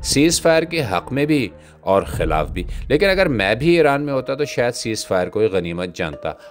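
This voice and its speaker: A man delivering scripted satirical political commentary in a sardonic, literary way. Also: medium pitch. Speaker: commentator, host.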